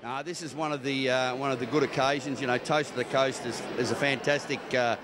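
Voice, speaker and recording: A man's voice: mid-range voice, fairly even intonation, fairly quick, noisy background. An Australian man answering interview questions in a rambling way, padded with frequent conversational fillers. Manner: rambling, many 'you know' fillers. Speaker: civic interviewee.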